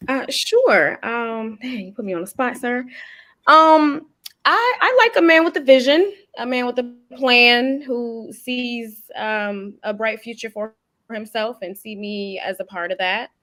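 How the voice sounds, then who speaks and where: A woman on a live stream panel, answering thoughtfully and pausing with "um". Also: a little high, animated, a bit bright, no room sound. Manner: thoughtful, pauses with "um".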